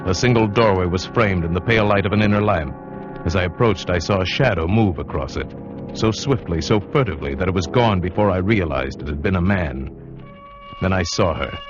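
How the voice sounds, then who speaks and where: A man acts out a romantic, uneasy seafarer from a 1940s radio play. His low voice is measured and literary, courteous yet brooding. The dull vintage broadcast carries music. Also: close to an everyday voice. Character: thoughtful yachtsman adventurer, uneasy, romantic, courteous narrator-hero. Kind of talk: 1940s radio drama acting, first-person narration.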